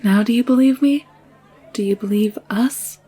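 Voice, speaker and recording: A woman playing an anxious, secretive young alien nurse in an intimate audio drama, confiding in a hushed, hesitant way. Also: on the high side, normal speed, husky, faint music behind. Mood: confiding, insistent. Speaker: voice actor in audio roleplay.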